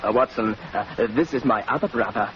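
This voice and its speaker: A man's voice: medium pitch, brisk, lightly acted. A man playing a bitter, witty, tipsy family brother in 1940s radio acting, with a sardonic, slightly stammering theatrical manner. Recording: muffled, noisy old radio recording.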